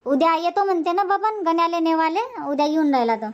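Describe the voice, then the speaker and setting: A chatty village woman from a cartoon comedy , acted by a woman, talks in a homely Varhadi Marathi dialect. Her voice is very high. The sound is somewhat dull and lo-fi. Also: lively intonation, fast. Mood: explaining, calm.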